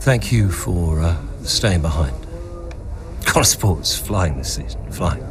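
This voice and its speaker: Deep-voiced, demanding racing boss. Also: male voice, animated, background noise. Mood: commanding. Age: middle-aged. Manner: commanding, deliberate, emphatic. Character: demanding, ambitious father and racing team owner. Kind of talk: cinematic game story dialogue.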